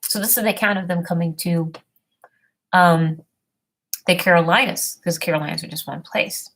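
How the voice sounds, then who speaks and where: A woman talking casually about history research and then reading an old text aloud haltingly, often stumbling over hard words and names. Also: fairly low pitch, fairly bright tone. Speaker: self-made history presenter.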